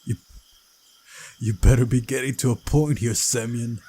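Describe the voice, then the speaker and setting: A man voicing a proud, confrontational teenage girl from a visual novel, sharp and impatient in manner. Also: mid-range voice, fairly even intonation, normal speed, husky, close to an everyday voice.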